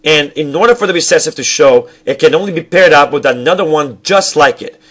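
A man's voice: a little high, a bit bright. A young male teacher giving a recorded lecture over slides at his desk, explaining step by step, often restarting sentences and checking in with the viewer. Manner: halting, self-correcting explanations with frequent restarts; asks the viewer questions and checks in with "all right?". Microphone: webcam at desk.

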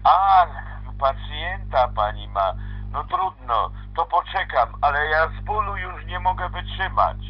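Man, somewhat high-pitched, polite, hesitant, questioning, improvised homemade audio play, muffled lo-fi sound, guitar music. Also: big pitch swings, a little slow. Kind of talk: improvised pretend-play phone dialogue. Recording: dull lo-fi playback with guitar music over it.

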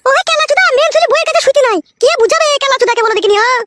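A short-tempered cartoon wife scolds. The voice is piercingly high and extremely quick, curt and threatening. The track is clearly noisy, with clicks. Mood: aggrieved scolding. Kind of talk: exaggerated comic cartoon dialogue.

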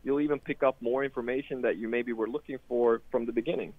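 A man's voice: mid-range voice, fairly even intonation, fast talker, no echo. A man from Sweden, a guest in an interview, talking casually with many fillers and restarts. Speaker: guest, sports data product manager. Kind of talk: casual interview over a call. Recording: tinny phone-like line, dry.

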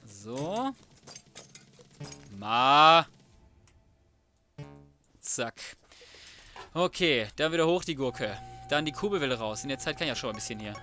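Bright-voiced man commentating a game. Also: medium pitch, animated, a little slow, pauses often, faint music behind. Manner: colloquial, mutters to himself, mild swearing. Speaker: gaming video host. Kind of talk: casual let's play commentary.

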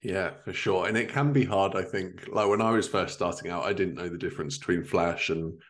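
Male host, thirties, deep voice, casual conversational interviewing from home. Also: a little fast. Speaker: podcast host. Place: indoors at home, living room. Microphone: video call.

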